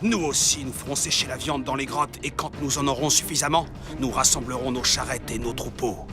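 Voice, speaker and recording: A man's voice: medium pitch, fairly even intonation, fairly quick, music in the background, natural voice. An actor playing a greedy, secretive man in a children's Bible cartoon, possessive and scheming. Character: greedy, secretive adult son of Noah.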